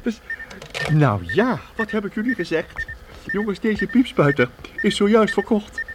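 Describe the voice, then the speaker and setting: An actor playing an eccentric old inventor professor in a children's radio play, fussy and stammering, often chuckling and repeating himself. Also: male voice, sits high, very animated, normal speed. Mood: excited, triumphant. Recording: noisy old radio recording.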